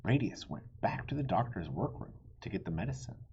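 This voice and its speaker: A man narrates a children's storybook aloud. His voice is very husky and breathy, with lively, expressive pitch movement. The recording is slightly muffled. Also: brisk. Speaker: storybook reader.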